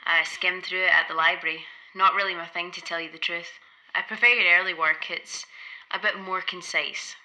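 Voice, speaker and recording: A woman's voice: fairly low pitch, fairly even intonation, normal speed, bright, forceful tone, low-quality recording. An actress playing a blunt, ambitious nineteen-year-old poet in an audio play, direct and frank in her delivery. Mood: candid. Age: young adult.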